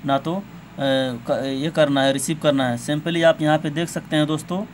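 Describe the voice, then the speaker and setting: A man with a slightly high voice walks viewers through phone settings step by step. His delivery is informal and repetitive, with some hesitation as he goes. It is an amateur recording with faint noise behind him. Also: fairly even intonation, moderate pace. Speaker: tech tutorial host.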